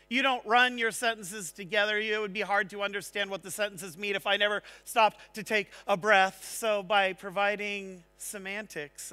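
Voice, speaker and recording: A man speaking on a large conference stage, giving an energetic technical talk and checking in with the audience. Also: high-pitched. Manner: energetic, engaging, asks the audience "right?".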